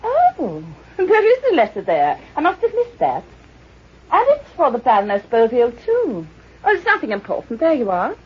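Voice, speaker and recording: An actress playing a chirpy, obliging hotel receptionist in an old-time radio drama, eager to please. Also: female voice, high-pitched, wildly swooping pitch, normal speed, husky, exaggerated voice. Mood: chatty, obliging. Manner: sing-song, eager to please. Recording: dull, muffled old radio recording.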